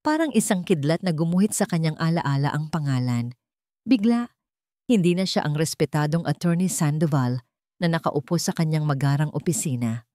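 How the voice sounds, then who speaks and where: A woman's voice: low-pitched, mellow, dry recording, clean studio sound. A woman narrating a scripted dramatic audiobook story.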